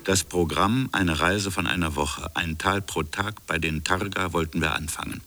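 A man's voice: low voice, normal speed, pushed and bright, faint music behind. An actor playing a colonial official in a radio drama, recounting his own investigation in a brooding, insistent manner. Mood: brooding, troubled. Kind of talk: radio drama dialogue and first-person narration.

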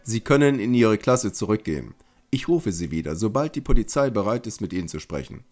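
Man playing a stern, low-voiced school principal. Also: animated, brisk, a little mellow, soft background music, close to an everyday voice. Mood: curt, evasive. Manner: formal, officious, intimidating. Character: stern, threatening male school principal, pompous and short-tempered. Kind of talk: amateur audio drama acting.

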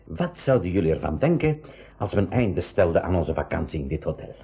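A man's voice: low voice, sing-song, fairly quick, creaky, dark tone, husky, full character voice. A man playing a genial, decisive older uncle and professor in a 1980s school radio play. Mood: genial, inviting. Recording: dull lo-fi radio recording.

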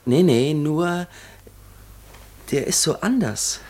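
A man's voice: fairly high pitch, animated, darkish tone. A man playing a frightened, simple young shop errand boy in a radio play, hesitant and stammering. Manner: hesitant, stammering, halting answers. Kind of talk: German radio play acting.

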